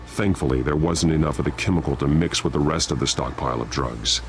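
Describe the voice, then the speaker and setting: An actor playing a grim, gruff masked detective in a video game, speaking in clipped, analytical lines. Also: male voice, rumbling low, fairly quick, a little hiss or hum. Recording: game score under the voice.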